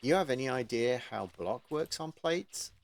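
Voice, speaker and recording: A man's voice: medium pitch, animated, normal speed, creaky. A man hosting a gaming channel, chatting casually with a guest in an informal interview.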